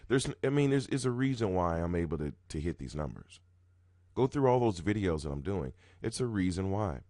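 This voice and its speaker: A man with a very deep, groggy morning voice talks casually and rambles, looping back over his words and restarting often. His sound is dry and close, with no room around it, and his pitch and loudness jump up suddenly. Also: very animated, brisk, dry recording. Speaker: roulette strategy video host. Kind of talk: casual talking-head explanation.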